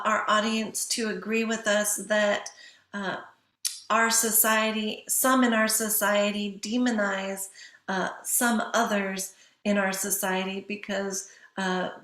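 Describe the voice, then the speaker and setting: A woman teacher giving a lecture to students, stressing key points emphatically and slowing down on them. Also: medium pitch, a bit bright, reverberant. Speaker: English professor teaching writing.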